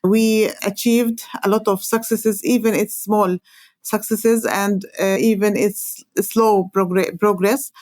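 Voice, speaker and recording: A woman from Palestine with a Palestinian Arabic accent, a native Arabic speaker, explaining her work as an interview guest in halting, hesitant phrases with frequent pauses. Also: medium pitch, a little mellow, no room sound. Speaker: interview guest, environmental organization coordinator.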